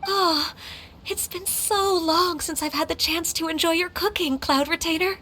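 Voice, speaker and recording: A woman playing a gentle, modest young woman in a video game, courteous and earnest. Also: extremely high pitch, steady tone, normal speed, slight background noise. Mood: grateful. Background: music.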